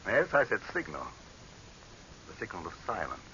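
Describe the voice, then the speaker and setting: An imperious dictator in a 1930s radio horror play. His low voice is forceful and piercing, swinging dramatically as scornful command gives way to panic. The old recording is dull and faintly hissy. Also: huge pitch swings, normal speed, heavily acted. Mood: angry, commanding. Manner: imperious, haughty, barking orders. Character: pompous tyrannical male dictator, commanding, scornful, cracking into panic.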